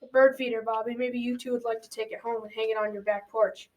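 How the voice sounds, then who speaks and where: A woman's voice: on the high side, little pitch movement, heavy background noise, lightly acted. A young girl playing a kindly, folksy old grandfather in a school radio drama. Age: child or teen. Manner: folksy, measured advice-giving. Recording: slightly distant school recording.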